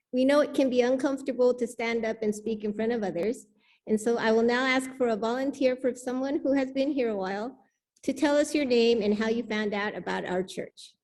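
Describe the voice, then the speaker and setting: A woman with a fairly high voice leads a church service. She reads prepared words and announcements to the congregation from a microphone at the front. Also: darkish tone. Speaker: worship leader welcoming the congregation. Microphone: pulpit microphone in a church service.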